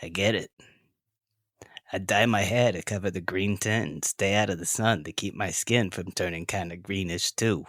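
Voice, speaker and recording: A man's voice: sits low, moderate pace, a bit bright, dry recording, clean studio sound. A man playing a tough, blunt, slangy sixteen-year-old half-orc girl in an audio drama. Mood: guarded, musing.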